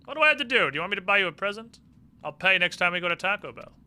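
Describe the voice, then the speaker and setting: A man's voice: sits high, big pitch swings, racing, bright, forceful tone, clean tone, pitched above the performer's own voice, heavily acted. Smug, flippant ex-villain, voiced by a male streamer. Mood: teasing. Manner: casual, mocking paraphrase of game dialogue. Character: smug, flippant male ex-military villain turned uneasy ally.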